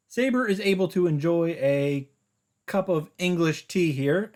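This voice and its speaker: A man reading visual novel text aloud and adding his own animated reactions and summary commentary. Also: sits high. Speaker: visual novel streamer and narrator.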